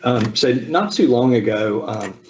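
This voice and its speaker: A man in his fifties from the American South, giving a relaxed personal talk over a video call with frequent ums and asides. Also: mid-range voice, a little slow, a little hiss or hum, low-quality recording. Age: 50s. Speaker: speaker at a Zoom talk. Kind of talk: personal family history talk.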